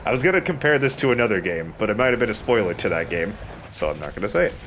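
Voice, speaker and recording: A man's voice: fairly low pitch, sing-song, rapid-fire, fairly bright tone, a little hiss or hum. A man giving casual commentary over a video game, talking in a conversational let's play style. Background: video game sound.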